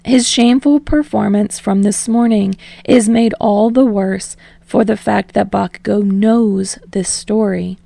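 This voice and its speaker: A woman narrates a story from a script. Her voice sits at a middle pitch with a noticeable creak, recorded close and dry. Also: dark tone, no echo. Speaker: audiobook narrator of fan fiction.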